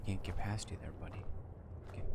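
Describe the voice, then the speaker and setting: A man giving casual, soft-spoken ASMR commentary while playing a game. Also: sits low, steady tone, a little fast, vocal fry, heavy background noise. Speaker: gamer narrating a playthrough. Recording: loud game ambience behind voice. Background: game ambience.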